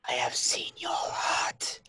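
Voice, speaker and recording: An actor voicing a sinister spirit in a locket, taunting slowly in a breathy hiss, at a table read. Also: bright, forceful tone. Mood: menacing. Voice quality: breathy hissing whisper. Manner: slow, drawn-out taunting. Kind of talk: amateur screenplay table read.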